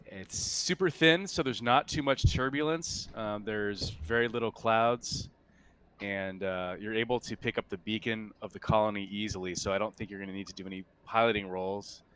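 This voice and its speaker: A man acting as game master, describing scenes in a halting, improvised way during a tabletop roleplaying game. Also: on the low side, a bit bright, clear, resonant tone.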